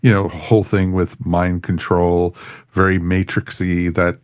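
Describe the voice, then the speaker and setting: Low-voiced man hosting, dry sound. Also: flat delivery, measured pace, clean tone, no room sound. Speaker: podcast host. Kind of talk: casual moderated review chat.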